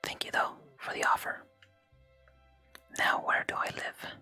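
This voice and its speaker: A soft, breathy, unhurried whisper narrating a video game let's play in ASMR style. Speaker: video game streamer, host. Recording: close whisper with game music behind. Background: game music.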